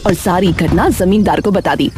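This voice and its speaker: A woman narrating a scripted animated story as a storyteller. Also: fairly low pitch, normal speed, music in the background.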